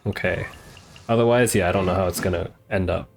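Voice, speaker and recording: A man's voice: deep voice, steady tone, fairly quick, slight background noise. A man who is a game streamer, chatting casually during gameplay. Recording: game music behind the voice. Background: video game music.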